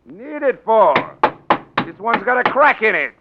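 A dull vintage radio recording of a tough, crooked American political boss. His high voice swings widely in pitch as he sneers and blusters like a gangster in a 1940s drama. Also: sing-song, unhurried, heavy background noise. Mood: indignant, blustering.

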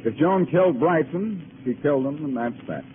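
A man's voice: on the high side, expressive, normal speed, close to an everyday voice. A man acting a brash, determined amateur sleuth in 1940s radio style, wisecracking and pressing with his questions. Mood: grim, determined. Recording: noisy, narrow-band old radio recording.

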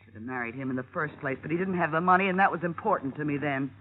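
An American actress playing a scheming, defiant young rich widow in a 1950s radio drama. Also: female voice, low voice, racing, natural voice. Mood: determined. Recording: tinny, noisy 1950 radio recording.

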